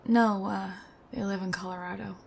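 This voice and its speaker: A woman playing a shy, sweet young female thief in an ASMR roleplay, hesitant and soft-spoken, often near a whisper. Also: medium pitch, steady tone, moderate pace, vocal fry, slightly rough. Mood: wistful, hesitant.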